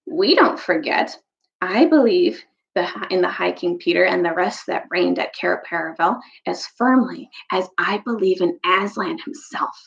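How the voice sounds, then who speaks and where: A woman's voice: on the low side, moderate pace, vocal fry, dull audio. A woman voicing a loyal, earnest old storybook badger who speaks emphatically and insistently. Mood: firm, proud.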